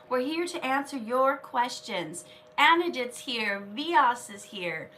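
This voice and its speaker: A woman's voice: high voice, sharp tone, recorded from a distance, a little hiss or hum. A woman hosting a casual live stream, chatting with viewers and reading their comments aloud while she has a cold and keeps coughing.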